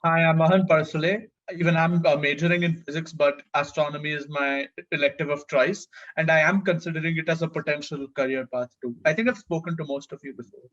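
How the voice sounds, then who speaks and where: A man's voice: on the high side, steady tone, fairly quick, hardly any pauses, a bit bright, highs cut off. A young man, a student introducing himself confidently in an online class. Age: young adult.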